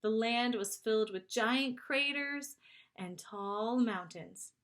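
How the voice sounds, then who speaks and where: A woman narrating a children's chapter book aloud in an expressive storytelling style. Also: on the high side, takes it slowly. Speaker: storybook reader.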